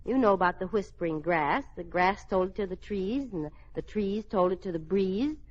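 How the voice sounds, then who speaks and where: A woman playing a spirited, playful married daughter in a radio sitcom, brisk and theatrical. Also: mid-range voice, expressive, close to an everyday voice. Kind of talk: old-time radio situation comedy acting. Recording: dull, noisy old radio recording.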